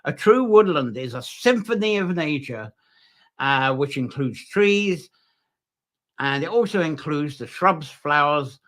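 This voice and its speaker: A man talks casually to the camera about nature. His voice is bright and sits a little high. He rambles, circling back with fillers and restarts. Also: lively intonation, unhurried. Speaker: host.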